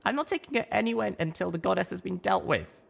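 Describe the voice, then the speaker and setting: A man voicing an earnest, stubborn young female unicorn in an audiobook, high and insistent. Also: lively intonation, fast talker, creaky, fairly bright tone, full character voice. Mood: firm. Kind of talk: audiobook reading.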